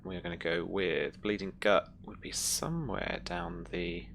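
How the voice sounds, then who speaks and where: A woman with a very low voice acts as game master for a tabletop roleplaying session. Her delivery is gravelly and halting as she reads rules and narrates. There is some background noise behind her. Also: fairly even intonation.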